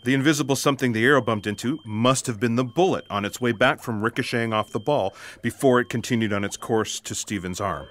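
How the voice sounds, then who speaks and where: A man's voice: mid-range voice, fast talker, hardly any pauses, a bit bright, natural voice. A man playing an earnest, stubborn investigator who explains things in wordy, formal logic in a sci-fi comedy audio drama. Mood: insistent.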